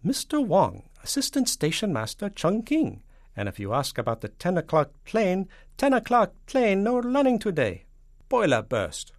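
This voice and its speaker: A small, officious Chinese man answering the phone in a storybook. The voice is pitched very high and swooping, with clipped, insistent phrasing. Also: wildly swooping pitch, normal speed, dry recording, clean studio sound, heavily acted. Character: small, distant-sounding Chinese men answering the telephone. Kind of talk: children's storybook character voice.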